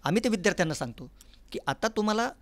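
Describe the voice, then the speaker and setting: A man with a Maharashtrian accent speaks as an interview guest. His voice sits fairly high, and he explains earnestly, listing examples as he goes. The studio sound is very dry, with no room around it. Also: lively intonation, very fast, a bit bright, no room sound. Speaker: interview guest, folk artist and academic department head. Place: recording studio.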